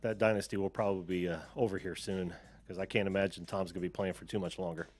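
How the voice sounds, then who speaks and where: A man's voice: low-pitched, fairly even intonation, fast, darkish tone. An American man hosting a call-in show over a video call, chatting casually, often laughing, trailing off and restarting.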